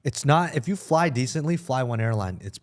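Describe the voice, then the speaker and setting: A man in a relaxed group podcast conversation, talking casually and colloquially. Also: mid-range voice, fairly quick. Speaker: podcast participant. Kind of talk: casual chat.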